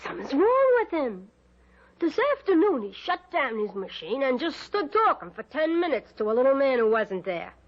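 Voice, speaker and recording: A woman playing a nagging, suspicious, sharp-tongued wife in an old-time radio drama, sarcastic and scolding. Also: sits high, sing-song, moderate pace. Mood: sarcastic, accusing. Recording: dull lo-fi radio recording.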